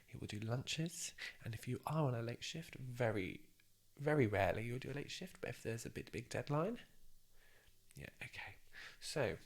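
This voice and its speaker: Soft, husky, creaky man, ASMR. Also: medium pitch. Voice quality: soft, breathy voice with whispery moments. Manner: hesitant, gently encouraging. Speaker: ASMR performer playing a job interviewer. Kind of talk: soft-spoken ASMR roleplay.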